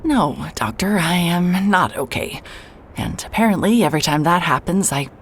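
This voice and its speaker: A woman's voice: on the low side, expressive, normal speed, slight background noise, natural voice. An actress narrating in first person as a sarcastic, guarded woman investigator who swears when she is upset. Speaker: narrator and lead character.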